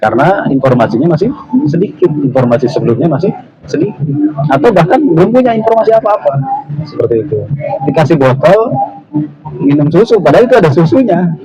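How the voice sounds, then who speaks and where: A man's voice: on the high side, big pitch swings, fast talker, long pauses, music in the background. A man giving a casual Islamic study talk, explaining step by step and ending phrases with small tag words. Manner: explanatory, repeats "ya" and "gitu" tags. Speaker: ustaz answering audience question. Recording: lo-fi with noticeable noise.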